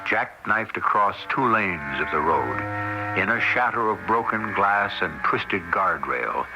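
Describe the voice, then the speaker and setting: A man hosting and narrating a radio drama in a suspenseful, theatrical, deliberate way. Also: low voice, expressive, over music. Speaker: host and narrator. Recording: muffled old radio recording.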